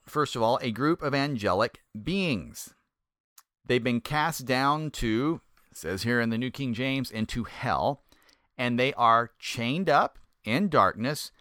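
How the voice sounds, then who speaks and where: A middle-aged man, a former pastor, giving a conversational Bible teaching in a folksy, self-deprecating way and slowing down to stress key points. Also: mid-range voice, fairly bright tone, no room sound, studio quality.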